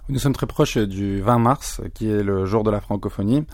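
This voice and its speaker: A man from Spain, a French speaker, interviewing a filmmaker with measured questions and frequent hesitations. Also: lowish voice, no room sound, studio quality. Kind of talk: festival interview.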